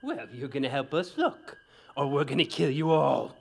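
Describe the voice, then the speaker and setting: An actor playing a crude, sarcastic mercenary leader with rough, rustic speech. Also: male voice, mid-range voice, sing-song, normal speed. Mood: threatening.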